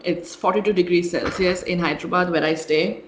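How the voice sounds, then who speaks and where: A woman from India, co-hosting a casual podcast and telling animated stories with laughs. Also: fairly low pitch, a little fast, slight background noise, low-quality recording. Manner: animated storyteller, laughs mid-sentence. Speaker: podcast co-host. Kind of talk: casual chat.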